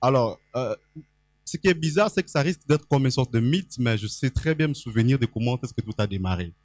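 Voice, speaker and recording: A man's voice: medium pitch, lively intonation, fairly quick, darkish tone, no echo. A man from the Équateur province of Congo, a guest on a reading panel, telling his story chattily with many fillers and restarts into a handheld microphone. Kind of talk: public panel talk. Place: event hall stage.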